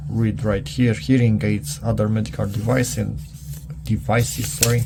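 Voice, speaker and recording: Man, gravelly creaky voice, casual product reviewer, hesitant and halting, muttering, clearly noisy recording. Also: lowish voice, little pitch movement. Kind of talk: casual unscripted hands-on review, thinking aloud while reading a manual.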